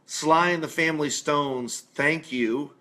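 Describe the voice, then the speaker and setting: A man's voice: fairly high pitch, slow pace, pushed and bright. A man, a musician guest on a radio show, chatting casually and giving his opinions informally.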